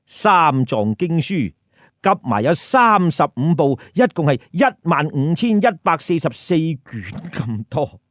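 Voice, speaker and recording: Male storyteller, lively swings in pitch, unhurried delivery, chatty comic commentary with rhetorical questions. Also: no echo, clean studio sound. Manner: colloquial, rhetorical questions to listeners. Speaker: storyteller, host.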